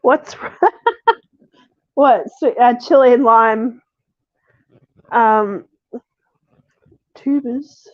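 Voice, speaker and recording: A woman streamer with a croaky, sniffly voice from being sick, chatting casually and laughing often. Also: fairly high pitch, extremely slow, long pauses, no echo. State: croaky voice from a cold. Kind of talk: casual live stream chat. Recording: dull lo-fi sound.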